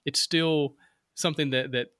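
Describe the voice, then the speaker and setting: A man's voice: a little high, steady tone, normal speed, bright, forceful tone, no room sound, clean studio sound. A man in his thirties with a Southern American accent, hosting a casual interview chat in an upbeat, rambling way with easy laughter. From Alabama. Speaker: podcast host.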